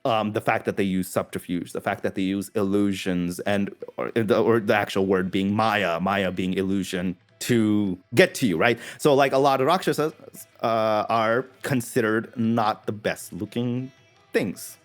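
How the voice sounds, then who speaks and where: A man chatting on a casual podcast, animated and quick to laugh. He sometimes halts and restarts mid-thought. Faint music sits behind the conversation. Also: fairly low pitch.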